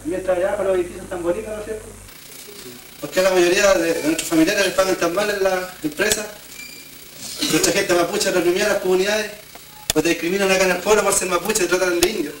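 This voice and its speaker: A man's voice: sits high, fairly even intonation, fast talker, pauses often, recorded from a distance. A young Chilean man leading a workshop in a community hall, earnestly asking questions of the group. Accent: Chilean Spanish. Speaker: workshop facilitator. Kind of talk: reflective group talk. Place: wood-panelled community hall indoors. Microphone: camcorder mic from across the room. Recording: echoey hiss-filled 1990s camcorder tape.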